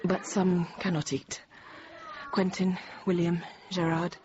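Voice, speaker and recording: An actress in her thirties playing a frightened but composed French teacher held hostage, narrating quietly, often near a whisper. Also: fairly low pitch, little pitch movement, takes it slowly. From France. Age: 30s. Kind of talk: first-person dramatic narration. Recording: dull noisy radio drama recording.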